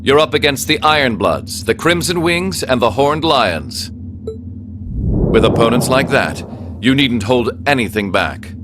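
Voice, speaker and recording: An actor playing a cool, refined young noble strategist in a video game, composed and slyly confident. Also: male voice, medium pitch, lively intonation, unhurried, very noisy, close to an everyday voice. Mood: commanding. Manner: refined, composed, smoothly commanding. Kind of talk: dramatic video game cutscene acting.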